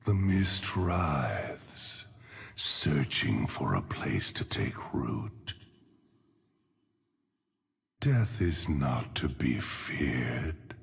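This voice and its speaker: An actor playing an ancient, weary and grim necromancer monk in a video game, slow and solemn, with a gravelly, breathy rasp. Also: male voice, very deep voice, big pitch swings, full character voice. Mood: grim, mournful. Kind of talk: video game character voice lines. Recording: long cavernous reverb.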